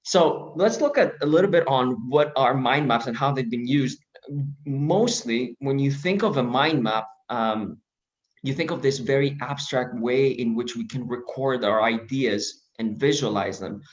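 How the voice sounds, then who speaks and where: A man from Greece walks through a presentation over a video call, in a measured way that is full of fillers and restarts. He has a mid-range voice. The audio is dull and lo-fi. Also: medium pitch. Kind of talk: online presentation.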